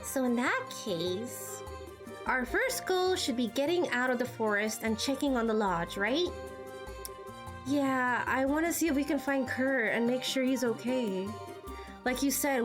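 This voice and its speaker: High-pitched, animated woman acting out game dialogue. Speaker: streamer voicing game dialogue. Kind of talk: amateur voice acting of game cutscenes. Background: music.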